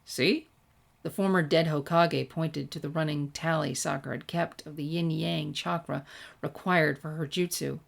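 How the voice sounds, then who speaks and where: Woman with lowish voice, hosts a fanfic reading channel, records at home. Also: steady tone, moderate pace, gravelly. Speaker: fan fiction reader, channel host. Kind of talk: scripted story narration and casual sign-off. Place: room at home without soundproofing.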